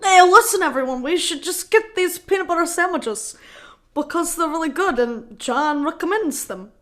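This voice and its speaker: A woman improvising a comedy scene over a video call, chatty and quick to jump in. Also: very high-pitched, expressive, fairly bright tone. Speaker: improv performer.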